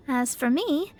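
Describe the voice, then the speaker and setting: A woman's voice: sits high, a little mellow, heavily acted. A woman voicing a proud, easily flustered young female astrologist in a video game, with a haughty manner. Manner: haughty, stammers when flustered. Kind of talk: video game character acting. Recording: studio voice over background music.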